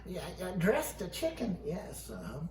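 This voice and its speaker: Man with low-key asides, roomy sound. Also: huge pitch swings, a little slow, darkish tone. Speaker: storytime host. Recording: roomy, distant mic, faint noise.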